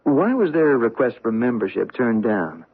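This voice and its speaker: A gentle, mildly reproachful American husband in a radio mystery. His husky voice moves expressively up and down. He feels his way haltingly through sentences, on a muffled, narrow old broadcast. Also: medium pitch, sing-song. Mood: hesitant concern. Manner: halting, tactful. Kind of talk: radio mystery drama acting.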